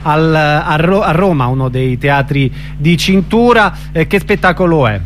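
A man's voice: on the high side, lively intonation, measured pace, a little hiss or hum. A man hosts an informal radio interview, putting questions to a guest.

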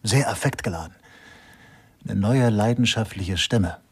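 An actor playing a drily pompous, bookish assistant detective in a German radio crime comedy, musing in know-it-all asides. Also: male voice, lowish voice, a little slow, exaggerated voice. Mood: pompous, dry. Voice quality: husky. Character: bookish, opinionated male police sidekick, drily pompous.

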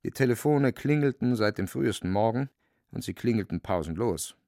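A man's voice: on the low side, lively intonation, a little fast, dark tone, no echo, clean studio sound. A man narrating a literary radio drama in a wry, dramatic storytelling manner.

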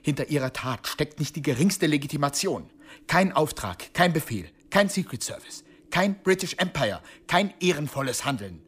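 An actor playing a stern, insistent police official in a radio crime drama, officious and emphatic. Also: male voice, fairly high pitch, animated, moderate pace, fairly bright tone, husky. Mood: indignant, scolding. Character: stern, insistent male police doctor confronting a killer.

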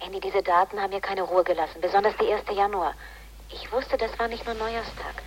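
A woman's voice: medium pitch, fairly even intonation, a little fast, slight background noise, close to an everyday voice, poor audio. An actress playing an earnest, diligent female police colleague in a German radio play, matter-of-fact and eager to explain. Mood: earnest, apologetic.

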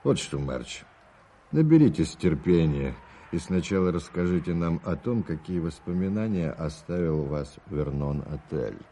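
Very deep-voiced, authoritative gentleman. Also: expressive, normal speed, full character voice. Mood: probing, brisk. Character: priest's genial, authoritative, inquisitive gentleman friend. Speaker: actor in a radio play. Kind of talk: 1980s Soviet radio drama acting. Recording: hissy old radio drama tape.

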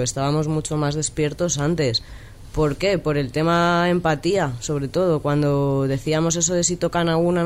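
A woman, an activist guest on a radio talk discussion, speaking steadily and reflectively. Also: low-pitched.

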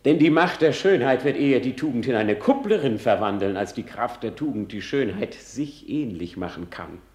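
An actor playing a bitter, erratic young prince in a classical radio tragedy, swinging theatrically between hushed and shrieking delivery. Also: male voice, medium pitch, animated, moderate pace, natural voice. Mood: probing, wistful. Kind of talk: classical stage tragedy in German radio drama. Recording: roomy, reverberant studio sound.

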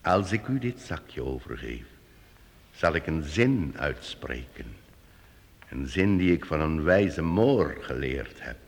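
An actor playing a wily travelling minstrel master in a radio play, courteous, deferential and deliberate in manner. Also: male voice, extremely low pitch, sing-song, vocal fry, full character voice. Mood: solemn warning. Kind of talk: old radio play acting for youth audience. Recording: radio play with long hall reverb.

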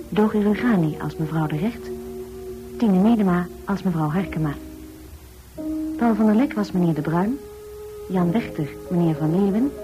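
A female radio announcer reads scripted credits. Her voice sits fairly low, and music plays clearly behind her. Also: rough recording.

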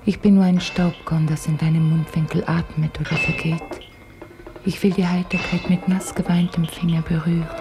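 Radio drama: enigmatic young woman played by an actress, poetic and devoted, low register, breathy endings, strong music underneath. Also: little pitch movement, normal speed, lightly acted. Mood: dreamy, intense. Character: enigmatic, devoted young woman reciting surreal love poetry. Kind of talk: lyrical radio drama recitation.

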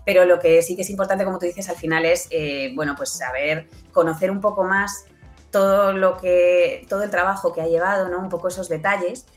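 A low-voiced woman talks as an interview guest. She builds her answers in stop-start phrases, often checking in with small question tags. Faint music sits around the recording. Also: fairly even intonation, brisk. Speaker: interview guest, audio fiction producer. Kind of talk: conversational interview.

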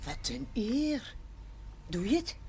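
An actress playing a practical, forthright, kindly woman in a naturalistic seventies television drama, brisk and gently teasing. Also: on the high side, very animated, creaky, slight background noise, heavily acted. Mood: encouraging. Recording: 1970s television soundtrack with music.

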